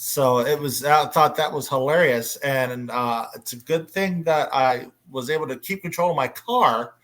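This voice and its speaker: A man hosting a casual fan panel discussion, speaking enthusiastically but haltingly with frequent pauses. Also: medium pitch, a bit bright. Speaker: panel host.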